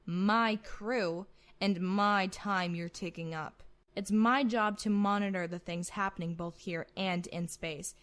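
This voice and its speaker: Woman playing a proud, sharp-tongued starship admiral. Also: mid-range voice, close to an everyday voice. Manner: curt, authoritative, sardonic. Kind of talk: amateur sci-fi roleplay acting.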